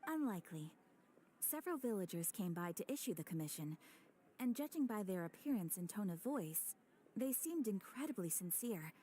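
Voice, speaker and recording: A woman voicing a calm, courteous female guild receptionist who speaks in a formal, measured way, with soft game music behind. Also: medium pitch, a little hiss or hum, close to an everyday voice, low-quality recording. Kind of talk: game cutscene voice acting.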